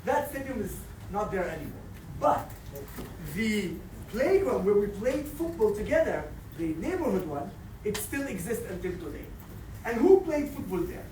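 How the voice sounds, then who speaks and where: A man in his thirties from Israel, a native Arabic speaker, giving a lively, joking lecture to a live audience. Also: high-pitched, normal speed. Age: 30s. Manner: animated, joking, addresses crowd directly. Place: large hall with audience. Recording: echoey hall, distant mic, audience noise.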